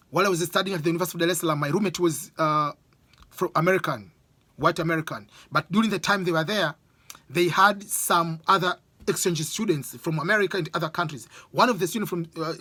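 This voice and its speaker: A man's voice: on the high side, bright, forceful tone, slightly rough, no room sound. A man with an East African accent speaking English as a Swahili speaker, giving an informal opinion monologue and repeating phrases for emphasis.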